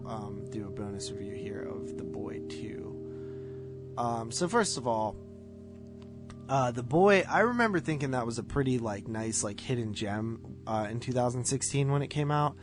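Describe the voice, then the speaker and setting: Dude on a movie podcast, slangy and blunt, kinda average pitch, guitar music loud behind him. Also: male voice, animated, moderate pace. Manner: slangy, blunt, mild swearing. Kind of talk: casual movie review chat.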